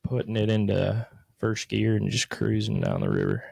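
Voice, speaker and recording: A man's voice: on the low side, big pitch swings, normal speed, gravelly, darkish tone. Loose, swearing late-20s guy. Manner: loose, profane, rambling storytelling. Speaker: podcast co-host. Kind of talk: casual chat.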